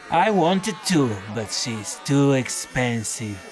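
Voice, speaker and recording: An actor playing a battered, deadpan, hard-drinking folk-hero detective who narrates his own audio drama. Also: mid-range voice, slow pace. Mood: wry. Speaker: lead character and narrator. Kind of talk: first-person audio drama narration and dialogue. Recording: music under the voice.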